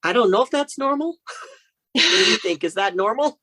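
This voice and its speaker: A woman's voice: on the high side, sing-song, normal speed, pushed and bright. A woman author, a guest on a podcast, explaining her book series steadily, step by step. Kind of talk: casual interview chat.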